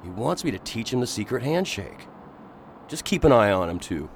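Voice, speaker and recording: An actor playing an earnest, agitated Victorian gentleman in a radio drama, pleading and intense. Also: lowish voice, very animated, normal speed, slight background noise.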